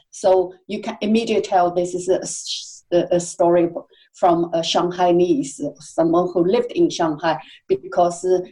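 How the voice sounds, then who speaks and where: A woman speaking as a podcast guest, answering at length in a halting way with many fillers and restarts. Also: medium pitch, mellow, low-quality recording. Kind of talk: conversational interview answers.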